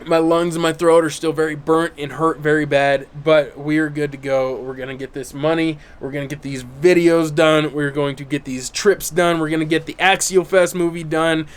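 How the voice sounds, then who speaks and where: A man's voice: fairly high pitch, flat delivery, normal speed, hardly any pauses, smooth. A man hosting his own channel, talking casually to the camera outdoors, sounding stuffy and congested, and often checking in with "right?" and "okay?". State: stuffy, congested from burnt lungs. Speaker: YouTube channel host. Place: outdoors in bright sun.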